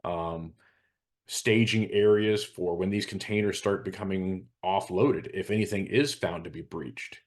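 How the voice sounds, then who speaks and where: An American man talking informally as a hazardous materials expert, explaining things in a measured, matter-of-fact way. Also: low voice.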